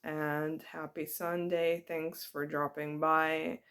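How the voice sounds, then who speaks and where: A woman giving a personal spiritual reflection to her viewers. She speaks in a hesitant, soft-spoken and heartfelt way, with many ums. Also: low-pitched, level tone, slow, clean tone. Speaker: spiritual vlogger.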